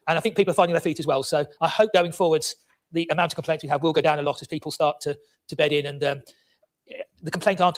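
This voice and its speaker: A man speaking as a county councillor in a formal council debate, rapid, with stumbles and repeated words. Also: a little high.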